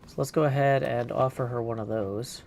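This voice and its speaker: A man's voice: medium pitch, fairly even intonation, a little fast, a little mellow. A man giving casual live commentary as he streams a video game. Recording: clear background noise.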